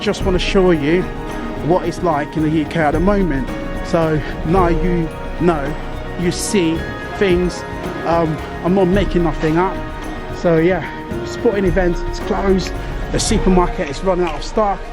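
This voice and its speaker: A man's voice: high voice, fairly even intonation, unhurried, music in the background. A man vlogging casually and exclaiming informally as he walks around a supermarket. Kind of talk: casual walk-around vlog narration. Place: inside a large supermarket.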